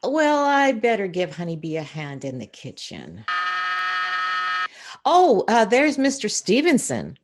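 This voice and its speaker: An actress playing a sensible, mildly scolding housewife and hostess in an old-time radio comedy. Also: female voice, medium pitch, wildly swooping pitch, measured pace, a little hiss or hum. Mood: polite, flustered.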